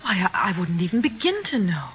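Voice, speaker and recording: A woman's voice: mid-range voice, very animated, a little fast, a bit bright, a little hiss or hum. A woman playing a hesitant, anxious young woman who stammers in old radio drama. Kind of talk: 1940s radio acting.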